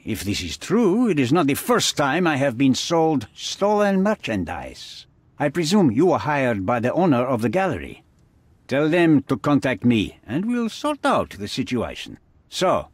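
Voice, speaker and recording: Husky, menacing crime boss. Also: male voice, fairly high pitch, huge pitch swings, dry recording, heavily acted. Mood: irritated, dismissive. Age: middle-aged. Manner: formal, deliberate, imperious. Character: menacing, haughty middle-aged male crime boss. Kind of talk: dramatic video game acting.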